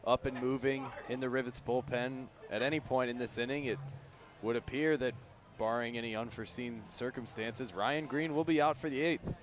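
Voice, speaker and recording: A man's voice: mid-range voice, fairly even intonation, normal speed. A man working as a color commentator on live baseball radio, running through analysis and statistics. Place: press box at an outdoor ballpark.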